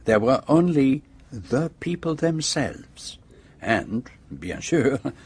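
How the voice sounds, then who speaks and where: An actor playing a shrewd, self-assured detective in a radio drama, with a French-speaking accent and precise, theatrical phrasing. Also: male voice, medium pitch, sing-song, takes it slowly, a little mellow, husky, dry recording, heavily acted. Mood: triumphant explaining.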